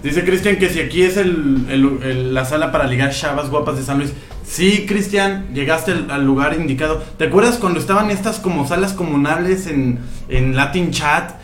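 A man's voice: on the high side, normal speed, hardly any pauses, reverberant. A man with a Mexican accent co-hosting a podcast, joking around in casual chat and reading out listener comments. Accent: Mexican Spanish. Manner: laid-back, joking, reads out listener comments. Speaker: podcast co-host.

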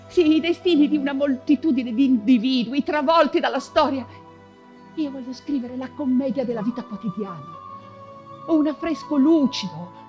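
Proud anguished woman writer, high voice, theatrical stage monologue, halting repeated phrases, music behind. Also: a little mellow. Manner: halting, insistent repetition of phrases.

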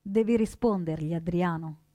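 A woman delivering solemn, emphatic scripted narration for radio theatre, with deliberate dramatic phrasing. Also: on the low side, warm tone, dry recording, clean studio sound. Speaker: actress and narrator.

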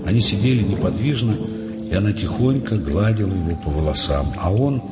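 A man narrating a literary audio play in Russian with measured, suspenseful storytelling. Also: lowish voice, expressive. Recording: muffled studio track with music behind. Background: prominent music.

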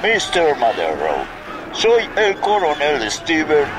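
Radio drama: stiff, stern American colonel, slow delivery with huge pitch swings, noisy exterior. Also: male voice, huge pitch swings, heavily acted. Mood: stern warning. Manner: stiff, formal. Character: stern American army colonel issuing a formal warning. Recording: outdoor noise behind.

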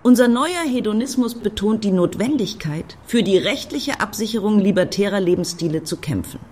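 Woman acting as sardonic activist, average pitch, offhand, dryly mocking, radio play. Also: expressive, normal speed, a little mellow, slight background noise, lightly acted. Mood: declamatory.

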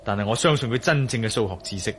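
An actor with a Hong Kong Cantonese accent playing a determined, brooding male adventurer in a radio drama, expressive and given to musing aloud. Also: lowish voice, rapid-fire, a little hiss or hum, lightly acted. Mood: grave, insistent.

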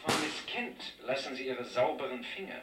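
A man's voice: mid-range voice, animated, normal speed, background noise, close to an everyday voice. An actor playing a terse, courteous man in a crime thriller, in radio drama style. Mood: clipped, polite.

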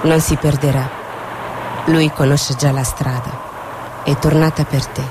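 Over clear noise, a man plays a gentle, solemn spirit. He speaks very slowly and evenly, comforting, in a poetic stage-drama manner. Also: fairly high pitch, level tone, close to an everyday voice. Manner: measured, comforting. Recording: clearly noisy background.

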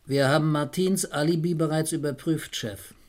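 An actor playing an eager police assistant in a radio crime drama, reporting in a dry, matter-of-fact, deferential way. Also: male voice, a little high, fairly even intonation, moderate pace, close to an everyday voice. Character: eager, dryly witty male police assistant detective.

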